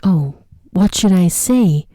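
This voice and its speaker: A woman voicing a kind, timid young maiden in a sleep story, in a soft, breathy, gentle voice. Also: fairly low pitch, lively intonation, slow, no room sound.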